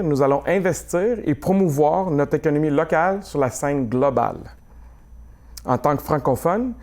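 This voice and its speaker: A man in his forties delivering a scripted campaign message, formal and measured, as if reading a prepared text. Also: a little high, darkish tone. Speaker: mayoral candidate.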